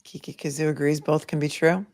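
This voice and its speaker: A woman's voice: deep voice, little pitch movement, brisk, a little mellow. A woman with a Boston accent hosting a legal livestream, chatting casually and thinking aloud as she answers viewer comments. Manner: conversational, opinionated, thinking aloud.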